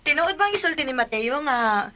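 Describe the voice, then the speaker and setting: A polite daughter in a Filipino radio drama, with a Cebuano accent. Her voice is high and bright, with some faint noise behind it. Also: lively intonation, normal speed. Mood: questioning.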